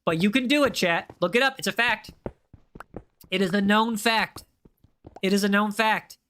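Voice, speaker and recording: A man's voice: high-pitched, moderate pace, pushed and bright, clear, resonant tone. A young man live-streaming a game, chatting casually with his viewers and often repeating himself. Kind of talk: live gaming stream chat.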